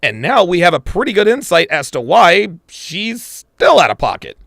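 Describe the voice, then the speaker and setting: A blunt, emphatic man reacts on a live podcast. His gravelly voice jumps all over in pitch, and the sound is close and dry. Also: sing-song, normal speed, fairly bright tone, no echo. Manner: blunt, emphatic, repeats himself for punch. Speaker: podcast host reacting to a video.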